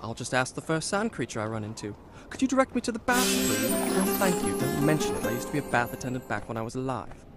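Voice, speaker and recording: Man acting cocky young prince under loud music. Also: medium pitch, sing-song, fast talker, few pauses, natural voice. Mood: sarcastic. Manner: sardonic, haughty. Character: proud young prince, brave, cocky and impatient. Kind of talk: video game cutscene acting. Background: loud soundtrack music.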